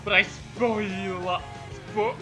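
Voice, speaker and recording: A man chatting casually and jokingly, rambling along with halting restarts. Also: high voice, sing-song, vocal fry, low-quality recording. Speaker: co-host reading fan fiction aloud. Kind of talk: casual chat. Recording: loud music over the voice. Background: music.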